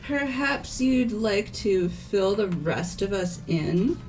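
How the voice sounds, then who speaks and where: A woman's voice: medium pitch, animated, slow pace, darkish tone, low-quality recording. A woman in a fan-voiced game playthrough, playing a skeptical, questioning teenage girl student. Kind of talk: scripted game dialogue read aloud by fans. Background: background music.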